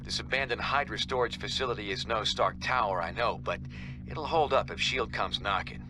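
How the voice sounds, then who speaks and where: A man's voice: on the low side, moderate pace, close to an everyday voice. A man playing a noble, steadfast resistance leader in a video game, sincere and rallying. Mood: earnest. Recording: clear noise behind voice.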